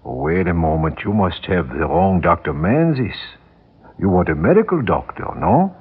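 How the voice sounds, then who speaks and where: A man's voice: deep voice, big pitch swings, normal speed, slightly rough, full character voice. An actor playing a skeptical, bewildered male academic past his youth in a 1960s radio drama, formal, hesitant and incredulous. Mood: puzzled. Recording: narrow tinny vintage radio sound.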